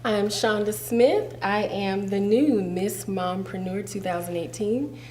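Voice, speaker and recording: A woman's voice: medium pitch, takes it slowly, darkish tone. A woman pageant titleholder introducing herself fluently in a casual interview.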